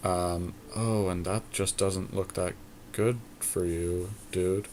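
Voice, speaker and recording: A man's voice: deep voice, fairly even intonation, slow pace, darkish tone, a little hiss or hum. A man co-hosting a podcast, giving casual commentary on a card game as he thinks his moves out aloud. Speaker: podcast co-host playing an online card game.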